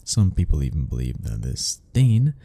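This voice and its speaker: A man's voice: a bass voice, sing-song, normal speed, warm tone, no room sound, exaggerated voice. A man playing an anxious, sarcastic, self-deprecating young man in a romantic ASMR roleplay, speaking in a wry, rambling way to the listener. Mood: awkward, teasing. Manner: sighing, wry, rambling, chuckling.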